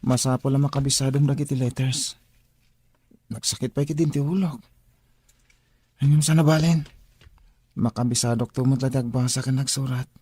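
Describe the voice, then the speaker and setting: A man playing a husky young student. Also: mid-range voice, steady tone, normal speed, long pauses, dark tone, close to an everyday voice. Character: young man new to a school for the blind. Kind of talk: Ilocano radio drama acting.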